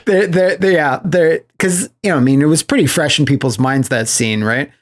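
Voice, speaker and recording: A man hosting a podcast in casual chat, recapping things as an animated storyteller who slips into acted-out quotes. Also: a little high, normal speed. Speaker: podcast host.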